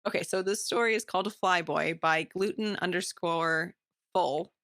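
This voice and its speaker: Creaky, bright-edged woman chatting enthusiastically. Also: lowish voice, normal speed, no room sound, clean studio sound. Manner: informal, enthusiastic. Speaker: podcast co-host. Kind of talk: casual book-club chat.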